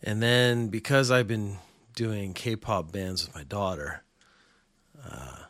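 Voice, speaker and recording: A man's voice: on the low side, takes it slowly, a bit bright, dry recording. A man hosting a small podcast alone, talking in a rambling, sarcastic, opinionated way. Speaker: podcast host. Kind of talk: casual solo podcast rant.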